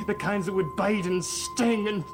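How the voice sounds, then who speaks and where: Loud music sits behind a haunted man in a gothic soap opera. His voice is fairly high for a man and slow. He halts and stammers as if confessing, gentle and weighed down by guilt. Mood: guilty, regretful.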